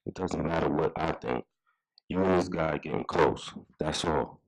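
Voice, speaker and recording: A man's voice: a bass voice, measured pace, creaky, full character voice, poor audio. An actor playing a reserved, brooding young man in an understated, terse way at a table read. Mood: guarded, probing. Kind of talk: live screenplay table read, acting.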